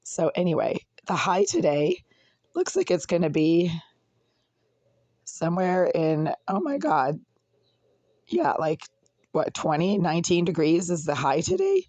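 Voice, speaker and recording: Hoarse, raspy voice with a cracking break. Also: medium pitch, huge pitch swings, measured pace, long pauses, darkish tone, dry recording. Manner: aggrieved, ranting, insults critics. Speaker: vlogger. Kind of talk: casual complaint vlog. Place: cold apartment indoors.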